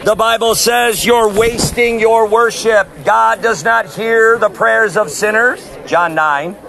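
A man who is a street preacher, talking loudly and insistently outdoors on a city street, recorded on a handheld phone. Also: high voice, fairly even intonation, takes it slowly, creaky. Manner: loud, forceful, insistent. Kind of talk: open-air preaching and street argument.

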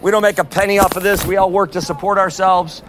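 Loud high-voiced street preacher man. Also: fairly even intonation, fast talker, noisy background. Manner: forceful, repeatedly addressing "neighbors". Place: outdoors on a street outside a concert. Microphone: no amplifier.

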